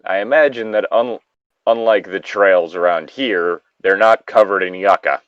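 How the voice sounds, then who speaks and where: A man with a fairly low voice narrates a story and keeps slipping into casual commentary. He rambles and jokes, swearing freely. The sound is very dry and slightly muffled. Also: moderate pace, no room sound. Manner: casual, joking, rambling asides. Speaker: reader and commentator of online stories. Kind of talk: reading a story aloud with casual, profane commentary.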